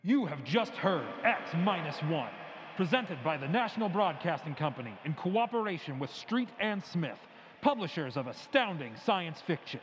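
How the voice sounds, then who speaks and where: A man announcing the end of an old-time radio show in a crisp, formal manner. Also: on the high side, very animated, normal speed, in a large hall, muffled recording.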